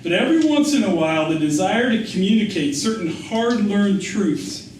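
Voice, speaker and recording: A man reading a literary memoir aloud as its author, with a measured storytelling delivery. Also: sits high, lively intonation, a little mellow. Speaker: author reading his essay. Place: indoor public reading. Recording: echoey room, distant mic, faint noise.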